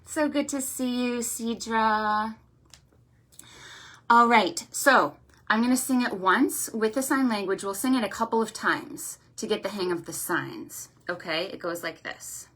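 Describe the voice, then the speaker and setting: A woman hosting a storytime for young children, with an animated, sing-song, encouraging way of talking, full of check-in questions and affectionate thanks. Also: a little high, measured pace. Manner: affectionate, effusive greetings and thanks. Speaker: children's library storytime host.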